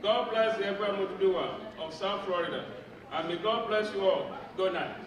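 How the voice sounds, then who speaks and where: High-voiced man, formal gala address, roomy distant hall with crowd. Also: background noise. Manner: formal, measured, ceremonious thanks. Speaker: association president. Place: large event clubhouse hall. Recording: echoey hall from far off, crowd. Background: audience crowd.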